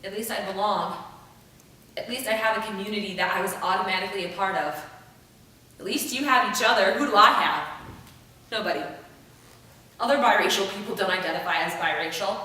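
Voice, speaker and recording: Young woman for a rehearsed monologue: bright, forceful voice, dramatic emphasis, heavily reverberant room with faint rumble. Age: young adult. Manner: emphatic, theatrical delivery with deliberate pauses and repeated phrases. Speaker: monologue performer. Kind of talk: rehearsed spoken-word monologue. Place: room without an audience. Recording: echoey room, distant mic, rumbling noise.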